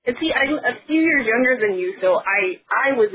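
A woman's voice: fairly high pitch, expressive, normal speed, breathy edge. A woman in her thirties, a guest and author, talking casually with frequent laughs and lots of filler words. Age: 30s. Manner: chatty, laughs often, many fillers. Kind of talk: casual conversation. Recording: tinny phone-like sound.

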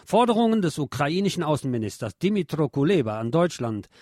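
A man's voice: fairly high pitch, big pitch swings, brisk, a little mellow, dry recording, studio quality. A man hosting a radio news programme, reading reports and adding opinionated, sardonic commentary of his own.

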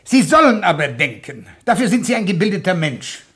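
An actor playing a pedantic, authoritarian older school headmaster in a comedic radio play, pompous and admonishing. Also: male voice, high voice, big pitch swings, fairly quick, a bit bright, husky, full character voice. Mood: pompous lecturing.

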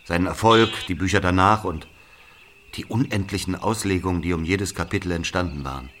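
A man's voice: low voice, fairly quick, fairly bright tone, a little hiss or hum. A man playing a brooding Algerian narrator who recounts his life in a literary audio drama. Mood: reflective. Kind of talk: dramatic first-person narration.

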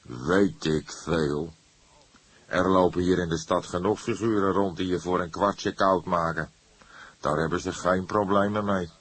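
A man's voice: deep voice, fairly even intonation, measured pace, husky, dry recording. A man voicing an insolent, evasive young street man of about twenty, offhand and drawling. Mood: indifferent.

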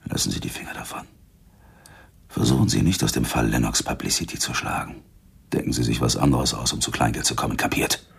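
An actor playing a menacing, boastful gangster with a gravelly husky voice, talking tough street slang in a radio crime drama. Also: male voice, very deep voice, sing-song, a little fast, heavily acted. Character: menacing, boastful male gangster, war veteran with grudge.

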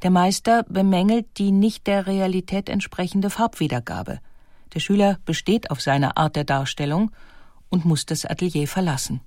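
A woman narrating a scripted biographical documentary in a measured, articulate manner. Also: fairly low pitch, darkish tone, no echo, studio quality.

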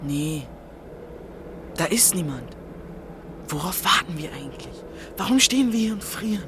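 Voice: mid-range voice, animated, moderate pace, background noise, natural voice. A performer playing a guarded, literal-minded boy of thirteen in a German radio drama, matter-of-fact and questioning.

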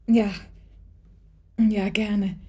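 A woman's voice: mid-range voice, little pitch movement, extremely slow, darkish tone, breathy edge. An actress playing an earnest, trusting young woman in love in a German radio play, with polite and emphatic speech. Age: young adult.

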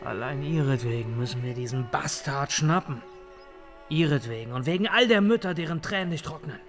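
An actor playing an alert, intense junior police investigator in a radio drama, eager and questioning. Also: male voice, a little high, animated, fairly quick, over music, lightly acted. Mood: determined.

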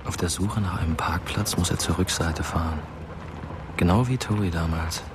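A man plays a dead teenage boy narrating a radio drama in a very deep, understated voice. The delivery is plain and matter-of-fact, over noisy vehicle ambience. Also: steady tone, normal speed, close to an everyday voice.

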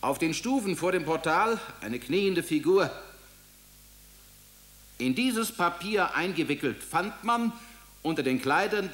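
A man's voice: on the high side, a bit bright. Sober male evidence describer. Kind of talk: radio drama narration.

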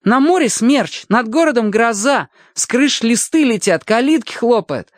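Young boy, storybook: eager, trusting chatterbox, pitched way up and swinging excitedly, close dry sound. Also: measured pace, dry recording. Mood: excited boasting. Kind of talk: acted audiobook dialogue.

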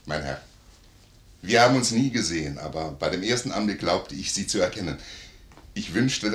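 Deep, hearty fatherly old count. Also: fairly bright tone, exaggerated voice. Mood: solemn, warm. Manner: jovial, declamatory. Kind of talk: 1950s German radio play acting. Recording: roomy 1950s radio studio recording.

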